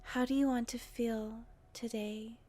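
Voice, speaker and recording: A woman leading a guided meditation in a gentle, breathy voice with long, unhurried pauses. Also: on the high side, fairly even intonation, soft background music. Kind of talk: guided meditation, soothing narration.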